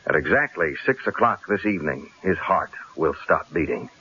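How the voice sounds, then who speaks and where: An actor playing a wry, worried newspaper columnist in a radio drama, with a dry, measured manner. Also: male voice, very deep voice, animated, bright, forceful tone, heavily acted. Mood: grimly composed. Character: wry, worried male newspaper columnist facing a death threat. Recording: muffled old radio drama recording.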